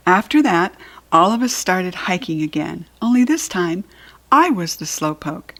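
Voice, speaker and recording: American woman reading a children's story expressively. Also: lowish voice. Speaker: storybook reader.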